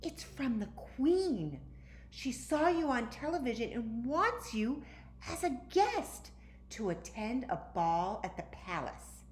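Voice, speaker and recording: Lady doing a confident bossy kid from a picture book, super emphatic and excitable, bit of room echo. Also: fairly high pitch, slow pace, voice pushed up. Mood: excited. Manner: emphatic, squealing delivery. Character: bossy, excitable, confident little girl playing teacher. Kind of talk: storybook read-aloud. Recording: roomy, echoey, faint background noise.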